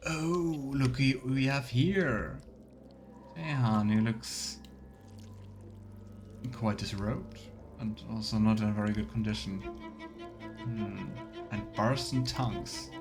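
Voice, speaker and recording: A man streams a fantasy role-playing game in a slow, casual way. His mid-pitched voice muses and murmurs to itself. Game music plays clearly underneath. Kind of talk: casual let's play commentary, thinking aloud.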